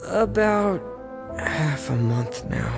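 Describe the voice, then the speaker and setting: An actor playing a quiet, loyal teenage boy in a video game, speaking in a subdued, sincere way. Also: fairly high pitch, expressive, slow pace, creaky, over music, full character voice. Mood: guarded.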